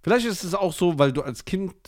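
A man's voice: sits high, lively intonation, brisk. A man co-hosting a casual podcast chat, reacting bluntly and with disgust, using slangy retorts. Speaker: podcast co-host.

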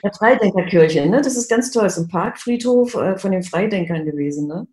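A woman's voice: medium pitch, animated, a little fast, dark tone. Chatty woman in an echoey room. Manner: cheerful, chatty. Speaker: illustrator and singer. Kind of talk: casual chat. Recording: roomy echo.

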